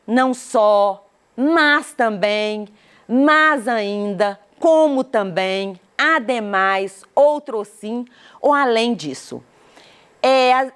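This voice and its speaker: Slow, patient woman teacher. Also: fairly high pitch. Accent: Brazilian Portuguese. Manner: slow, patient explaining with frequent checks for understanding. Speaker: Portuguese language teacher. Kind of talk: recorded classroom lesson.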